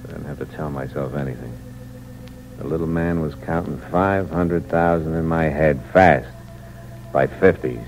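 Low-voiced hard-boiled noir drifter. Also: male voice, normal speed, music in the background. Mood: grim, cynical. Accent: American. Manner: terse, wry, hard-boiled. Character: hard-boiled drifter narrating his crime, tempted and cynical. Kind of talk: 1940s radio noir acting, first-person narration. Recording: muffled, noisy old radio recording.

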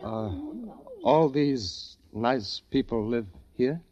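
A man's voice: mid-range voice, extremely slow, darkish tone. An actor playing an earnest, uneasy man trapped among strange recluses in a 1940s radio drama, polite, hesitant and brooding. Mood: nervous, hesitant. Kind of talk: 1940s radio acting, first-person diary narration. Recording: dull vintage radio recording, music behind.

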